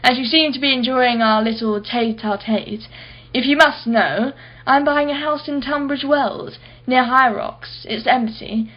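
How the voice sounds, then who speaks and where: A woman's voice: sits high, a little slow, muffled recording. An actress playing an imperious, wealthy businesswoman in a radio play, guarded and impatient, speaking in a clipped, formal way. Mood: guarded, recounting.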